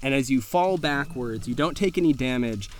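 A man's voice: medium pitch, expressive, moderate pace. A man narrating a tabletop horror-comedy as game master, descriptive and conversational. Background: faint music.

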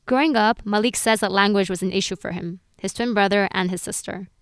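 A woman podcast host reading scripted narration clearly and evenly. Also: medium pitch, a little fast, no room sound, studio quality.

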